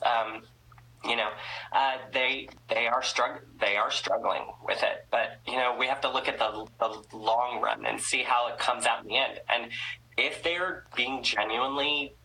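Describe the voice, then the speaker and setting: A woman's voice: a bass voice, sharp tone, a little hiss or hum, rough recording. A woman interviewing a guest in a casual, supportive and teasing way, often restarting her questions.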